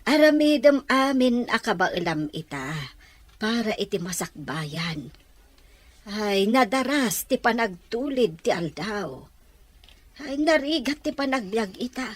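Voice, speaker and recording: Worried advising mother, Ilocano accent, slow delivery with lively rise and fall, radio drama woman actor. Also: a little high, no echo. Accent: Ilocano Filipino.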